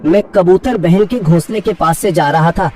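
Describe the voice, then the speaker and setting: A woman's voice: lowish voice, normal speed, mellow, far from the mic, lightly acted. A woman voicing a kindly, worried male parrot who tells a story and warns urgently and emotionally. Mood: urgent, grieving.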